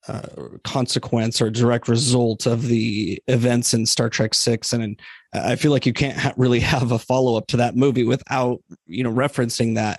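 A man's voice: medium pitch, no room sound. Male podcast guest thinking aloud, dry sound. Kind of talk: casual discussion.

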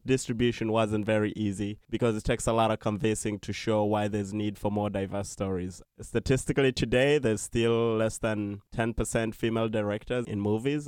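A man presents his company as its founder. His voice is fairly low, smooth and resonant, recorded dry and close in a pitch setting. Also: darkish tone, no room sound. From Uganda. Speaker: startup founder, guest. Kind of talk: business pitch.

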